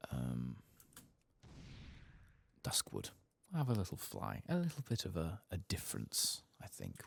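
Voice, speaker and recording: A soft-spoken man giving relaxed, musing ASMR commentary on a game playthrough, close to the mic and at times near a whisper. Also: creaky, no echo. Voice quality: soft, low-volume voice, sometimes dropping to a whisper. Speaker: gaming ASMR channel host. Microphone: close, dry microphone.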